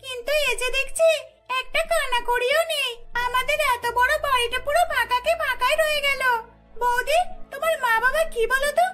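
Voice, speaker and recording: A woman's voice: very high-pitched, fairly even intonation, a little fast, sharp tone, voice pushed up. A woman voicing a haughty, greedy young sister-in-law in a cartoon, whiny, taunting and bossy. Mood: indignant, mocking.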